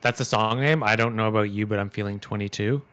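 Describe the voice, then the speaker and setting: A young man co-hosting a podcast with a monotone delivery in casual chat. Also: lowish voice, fast talker, clean tone. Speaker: podcast co-host.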